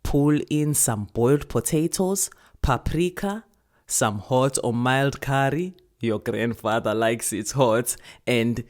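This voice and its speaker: Woman as deep-voiced, loving old grandmother. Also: takes it slowly, a little mellow. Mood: savouring. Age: older. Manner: coaxing, teasing, savouring her words. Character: weary, loving, playfully secretive old village grandmother. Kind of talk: storybook character voice.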